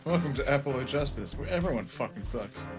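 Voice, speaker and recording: Male co-host with a medium-pitched voice. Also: expressive, a little fast, over loud music. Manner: dry, teasing quips. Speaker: co-host of a game dub stream. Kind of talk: casual live stream banter.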